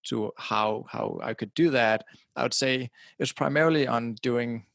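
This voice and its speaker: A man's voice: mid-range voice, unhurried, creaky, fairly bright tone, no room sound. A middle-aged man from Denmark, not a native English speaker, talking casually as a podcast guest into a close podcasting mic, often repeating words as he restarts. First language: Danish. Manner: casual, repeats words at the start of phrases, laughs now and then. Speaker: guest, website publisher. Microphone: directional podcasting mic close to mouth.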